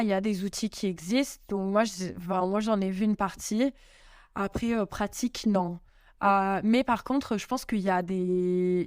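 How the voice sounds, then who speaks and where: Young woman guest, smooth voice, halting chatty answers, dry close sound. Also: mid-range voice, no room sound. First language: French. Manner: hesitant, many fillers and restarts. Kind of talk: casual interview answers. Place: home in Italy.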